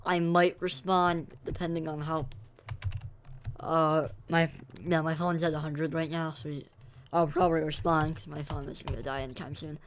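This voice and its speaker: A man's voice: a little high, level tone, moderate pace, slight background noise. Rambling young streamer guy. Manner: rambling, self-correcting, talks to chat between game reactions. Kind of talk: casual live-stream chatter while gaming.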